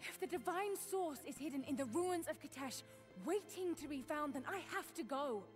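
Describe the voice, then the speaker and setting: High-voiced, driven young adventurer. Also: female voice, animated, moderate pace, soft background music. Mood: pleading, driven. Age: young adult. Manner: earnest, intense, urgent. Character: young woman adventurer, urgent, driven, defiant. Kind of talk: cinematic video game acting. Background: game score.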